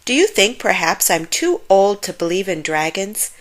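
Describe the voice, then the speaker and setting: A woman voicing an eager, inquisitive young boy in a storybook, with an excited, exclamatory manner. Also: mid-range voice, moderate pace, close to an everyday voice. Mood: curious. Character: eager young boy full of wondering questions. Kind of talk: storybook character voice.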